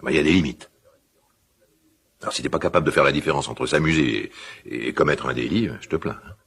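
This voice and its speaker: An actor playing a gruff, quick-tempered but caring middle-aged father in a radio drama, with halting, repetitive, colloquial speech. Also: a bit bright, heavily acted. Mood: stern, scolding. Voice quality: deep, gravelly, husky. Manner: halting, repeats himself, blustering colloquial speech. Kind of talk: naturalistic French radio drama acting.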